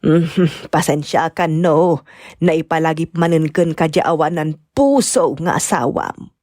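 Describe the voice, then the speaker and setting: A woman's voice: low-pitched, takes it slowly, a little mellow, no room sound, clean studio sound. A woman with an Ilocano Filipino accent playing a caring elderly grandmother in an audio drama. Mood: tender, consoling. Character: caring elderly grandmother, mother of a grown son. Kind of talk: amateur radio-style drama acting.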